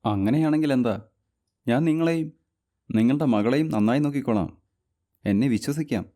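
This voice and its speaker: A man's voice: medium pitch, normal speed, mellow, close to an everyday voice. A man voicing an earnest, insistent office worker in a storybook tale. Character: office man, persistent suitor, later a stepfather.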